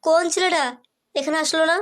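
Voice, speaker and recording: High-pitched teasing cartoon big sister, a woman acting. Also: steady tone, fast talker, a bit bright. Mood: teasing, coaxing. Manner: coaxing, teasing, advising. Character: bossy, teasing young elder sister.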